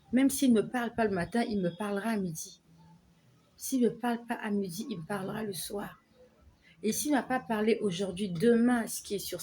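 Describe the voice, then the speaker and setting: Earnest woman preaching slowly to viewers. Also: mid-range voice, darkish tone, slightly rough, poor audio. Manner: earnest, repetitive, slow emphatic phrasing. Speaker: online preacher. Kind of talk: devotional exhortation to viewers.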